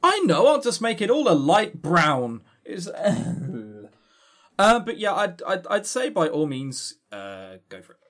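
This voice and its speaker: Man, southern English accent, higher-pitched, very lively intonation, blunt, casual podcast chat. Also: slow. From south of England. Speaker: podcast co-host.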